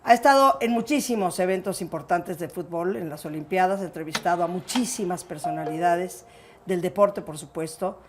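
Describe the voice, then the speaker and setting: A woman's voice: lowish voice, normal speed, background noise. Effusive Mexican woman hosting an interview. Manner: effusive, affectionate. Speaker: interview show host. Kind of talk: warm, affectionate personal interview.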